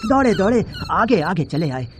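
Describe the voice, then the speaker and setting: A man's voice: sits high, very animated, normal speed. A man playing a poor, weary peasant farmer in an audio storybook, grumbling in a plain rustic, resigned way. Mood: grumbling, teasing. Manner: plain rustic grumbling, talks fondly to his dog. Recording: noisy, with crickets and animal sounds.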